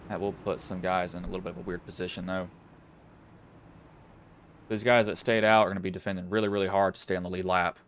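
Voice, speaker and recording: A man's voice: sits low, little pitch movement, quick pace, long pauses, fairly bright tone, a little hiss or hum. A man with a Southwest Virginian accent doing casual play-by-play commentary on an online sim race, rambling and correcting himself as he goes. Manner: rambling, self-correcting, talks to himself between calls.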